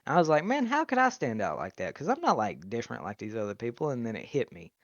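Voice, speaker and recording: A young man telling his story in a casual interview over a video call, loud and animated. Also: medium pitch, quick pace, no echo. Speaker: YouTuber, interviewee. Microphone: video call mic. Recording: muffled, dry video call audio.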